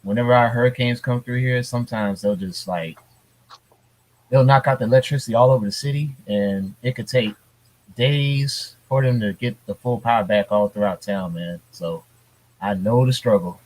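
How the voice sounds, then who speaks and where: A man co-hosting a casual gaming podcast from home, talking in a relaxed, rambling way with lots of fillers. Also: mid-range voice, normal speed, rough recording. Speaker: podcast co-host. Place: hurricane-prone US city.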